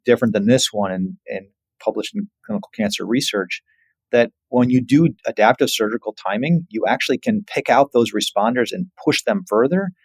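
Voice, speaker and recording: A man's voice: medium pitch, lively intonation, brisk, slightly rough, no room sound, low-quality recording. A man, a surgeon, explaining as an interview guest in an emphatic way with examples.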